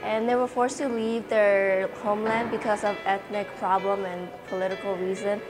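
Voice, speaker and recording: A woman's voice: mid-range voice, little pitch movement, moderate pace, poor audio. Young woman from thailand, plain storytelling, mid voice, music under it. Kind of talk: personal interview testimony.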